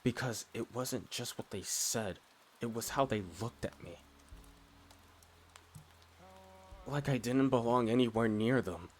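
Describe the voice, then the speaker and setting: A man playing a blunt, steady, reassuring furry character in a scripted ASMR roleplay, speaking in short, deliberate phrases. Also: medium pitch, a little hiss or hum, close to an everyday voice. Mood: somber, reflective. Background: music.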